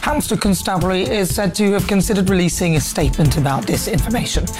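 A woman hosts a live television news programme. She speaks briskly, with a lilting delivery, as she introduces stories and questions her guest. A faint bed of music plays underneath. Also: on the low side, lively intonation. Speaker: news presenter. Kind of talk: live TV news presenting and interviewing.

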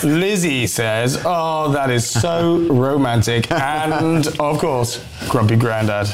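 A man's voice: fairly high pitch, slow pace, a crowd in the background. A man presenting a live news show, joking and laughing as he reads viewer comments. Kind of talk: light-hearted live news chat.

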